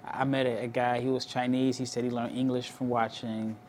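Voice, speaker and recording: A man's voice: medium pitch, level tone, moderate pace, darkish tone, a little hiss or hum. A man interviewing a basketball prospect, asking curious questions in a loose, casual way and often saying "like". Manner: curious, loose phrasing with many "like"s.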